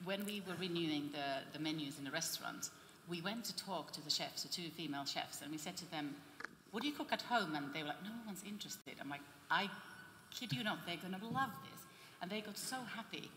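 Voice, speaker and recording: A woman with a low-pitched voice speaks on a panel, rambling warmly through stories and restarting her sentences. She is heard through a stage microphone in a big echoing hall, with a faint hum behind her. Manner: chatty storytelling with many restarts. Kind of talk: panel discussion answer. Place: large trade fair hall with reverb.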